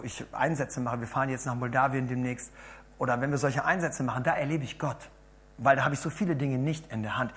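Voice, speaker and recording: A man with a hoarse voice preaches to a gathered group, talking in a chatty way and turning to them with questions. His delivery jumps between loud, emphatic bursts and quiet, murmured asides. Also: mid-range voice. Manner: chatty, asks the audience questions. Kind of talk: lively sermon to a congregation.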